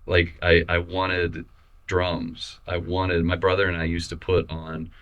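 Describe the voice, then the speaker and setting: A young adult man from Missouri, a photographer talking casually in an interview, relaxed and halting with many restarts and fillers. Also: sits low, smooth, highs cut off. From Missouri, USA. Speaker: photographer guest.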